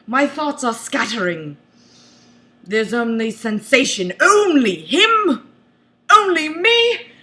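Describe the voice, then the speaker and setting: Female reader for comedic novel narration: theatrical, overemphatic, very animated, unhurried, mock-dramatic. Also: sits high. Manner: theatrical, exaggerated emphasis.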